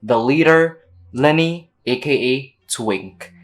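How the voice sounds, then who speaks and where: Very low-pitched woman doing wry game commentary. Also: takes it slowly. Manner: slangy, wry, teasing. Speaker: gameplay streamer. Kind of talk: casual game commentary, reading dialogue aloud. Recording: game music behind the voice.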